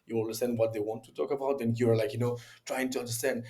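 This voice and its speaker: Male co-host, halting and word-searching, mid-range voice. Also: medium pitch, level tone, warm tone. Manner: hesitant, searching for words. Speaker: podcast co-host. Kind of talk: casual chat.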